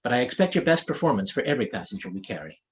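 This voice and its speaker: A man's voice: medium pitch, sing-song, racing, natural voice. A man voicing a stern, commanding female ship's captain with clipped, formal authority in a fantasy audiobook. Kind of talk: fantasy audiobook character dialogue.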